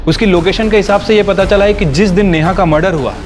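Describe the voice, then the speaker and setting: A respectful junior policeman reporting his findings to a superior, played by an actor. His voice is slightly high, and dramatic music plays steadily underneath. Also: quick pace, close to an everyday voice.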